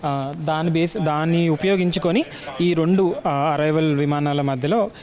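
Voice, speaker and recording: A man with an Indian accent explaining technical work in an interview, speaking haltingly and mixing in English terms. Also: on the high side, steady tone, darkish tone. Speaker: air traffic controller explaining his work.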